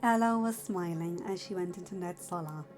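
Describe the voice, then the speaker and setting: A woman reading fiction aloud as an audiobook narrator, in an expressive storytelling style. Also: fairly low pitch, moderate pace. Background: music.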